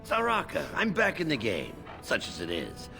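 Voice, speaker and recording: An actor playing a gruff, down-on-his-luck dwarf animal wrangler in a fantasy game. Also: fairly high pitch, huge pitch swings, moderate pace, bright, forceful tone, soft background music, exaggerated voice. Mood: weary, grateful.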